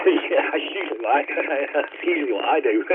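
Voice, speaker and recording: A man's voice: on the high side, lively intonation, moderate pace. A man with a Northern English accent chatting casually over CB radio in a blunt, sweary, joking way. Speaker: CB radio operator. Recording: tinny, very noisy CB radio link.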